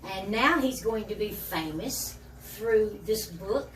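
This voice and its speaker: A woman hosts a casual interview and speaks warmly and admiringly of her guest. Her voice is captured from a distance in an echoey room. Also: mid-range voice, takes it slowly. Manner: warm, admiring. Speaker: interview host.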